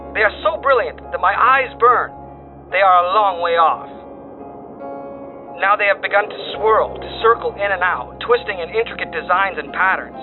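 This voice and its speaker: A man's voice: high voice, phone-quality sound. Phone-thin radio voice of a doomed wireman, acted by a man. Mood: horrified frenzy. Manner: solemn, theatrical, formal phrasing. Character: doomed male wire operator reporting horrors, terrified yet compelled. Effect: radio filter. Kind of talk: dramatic horror story dispatch reading. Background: music.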